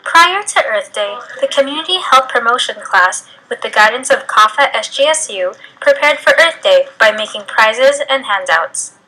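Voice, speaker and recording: A woman's voice: a little high, lively intonation, moderate pace, few pauses, pushed and bright, rough recording. A woman reading scripted narration in the style of a short documentary. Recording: music bed behind. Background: background music.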